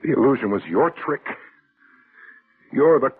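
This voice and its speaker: A man's voice: medium pitch, expressive, a little slow, slightly rough. An actor playing a hard-boiled, haunted man narrating and living a murder plot in a terse, sardonic 1940s radio thriller style. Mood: bitter realization. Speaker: actor narrating as lead character. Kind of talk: 1940s radio thriller acting, first-person narration and dialogue. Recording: tinny old radio recording, loud music behind.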